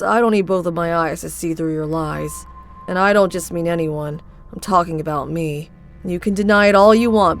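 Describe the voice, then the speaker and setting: A cold, cutting teenage boy in a fan comic dub, played by a woman. His voice is mid-pitched and coolly accusing, and it turns sharply hateful when pushed. Quiet music sits behind him. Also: close to an everyday voice. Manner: cool, accusing.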